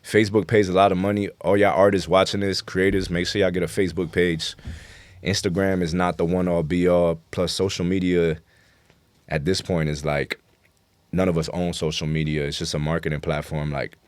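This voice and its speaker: A man with a very low voice and a New York accent, recorded dry and close. He talks like a rapper being interviewed, loose and slangy, with plenty of casual swearing. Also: no echo. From New York City. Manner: slangy, profanity-laced, frequent "you know". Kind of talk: casual podcast interview talk.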